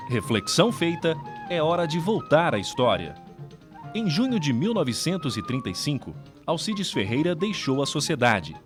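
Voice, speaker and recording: A man's voice: fairly high pitch, sing-song, normal speed. A man with a Brazilian accent reads scripted documentary narration. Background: background music.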